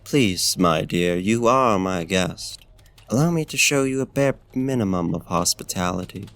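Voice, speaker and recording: Male actor as seductive vampire host, very slow, creaky voice, formal archaic courtesy, gentle menace, intimate ASMR roleplay. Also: lively intonation, exaggerated voice. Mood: attentive, hospitable. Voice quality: low, gravelly.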